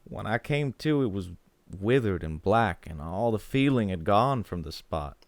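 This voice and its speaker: A man's voice: fairly low pitch, animated, a little slow, dry recording. An actor playing a haunted, stubborn rural man in a horror audio play, speaking with fearful intensity. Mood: shaken, recounting. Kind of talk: dramatic audio play acting.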